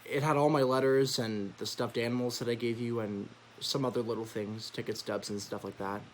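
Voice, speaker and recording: Man acting sentimental grieving teenage boy, mid-pitched, halting, faint noise, stage letter monologue. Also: fairly even intonation, lightly acted, low-quality recording. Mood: grieving, awkward.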